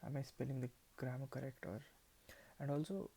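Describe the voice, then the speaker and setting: A man's voice: medium pitch, flat delivery, fairly quick, creaky, dark tone, husky. A man in his twenties whose first language is Telugu, talking unscripted to a camera in a hesitant way, with many restarts and repeated words. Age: 20s. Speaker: vlogger.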